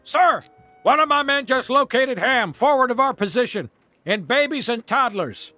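A toy army sergeant from a video game. The voice is pushed high and forceful, very dry and close, with clipped, barking, dutiful delivery over faint music. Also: male voice, expressive, normal speed, no room sound, exaggerated voice. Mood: grave reporting. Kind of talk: military report in video game acting.

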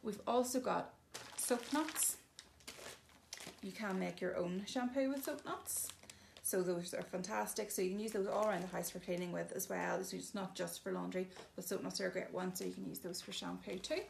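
A woman's voice: lowish voice, steady tone, moderate pace, creaky, a little hiss or hum. A woman giving a chatty, casual demonstration of bathroom products, explaining each one plainly with frequent ums. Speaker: shop presenter showing products.

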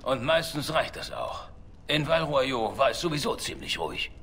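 Bright-voiced, wry roguish elf. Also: male voice, mid-range voice, very animated, normal speed, slight background noise. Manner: dry, wry. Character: roguish elf man, cynical, flirtatious outlaw. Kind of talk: dramatic video game dialogue.

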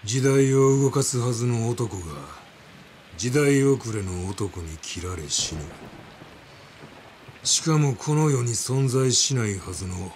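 An actor playing a grim, coolly ironic samurai swordsman in a video game, speaking deliberately and menacingly with a Kansai accent. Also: mid-range voice, animated, a little mellow, slight background noise. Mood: cold irony. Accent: Kansai Japanese. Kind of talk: dramatic video game acting.

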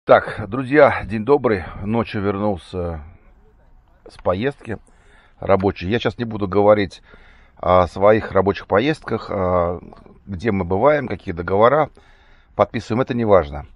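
A man talks off the cuff in a fairly low voice, slightly hoarse with a cold. His delivery is casual and halting, with corrections along the way. The sound is dry and somewhat muffled. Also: no room sound. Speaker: vlogger. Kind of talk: casual spoken monologue. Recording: dull, muffled lo-fi recording.

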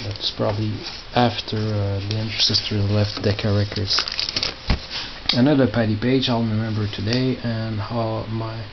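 Low-voiced man, muffled noisy recording. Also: flat delivery, normal speed. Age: middle-aged. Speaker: record collector showing his collection. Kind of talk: casual off-the-cuff commentary. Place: small tiled indoor room by storage crates. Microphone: handheld camera held by the speaker. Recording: muffled, noisy low-grade camera audio with clicks.

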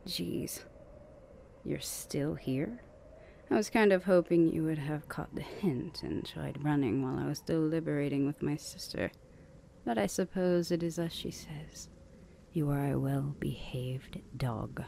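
A woman voicing a stern, weary older vampire sister in a measured, condescending ASMR roleplay, often half-whispering. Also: low-pitched, vocal fry, warm tone, a little hiss or hum, full character voice. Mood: grudging.

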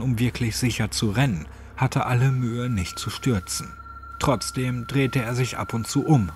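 A man's voice: medium pitch, noisy background. A man narrating a scripted horror story as an audiobook. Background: music.

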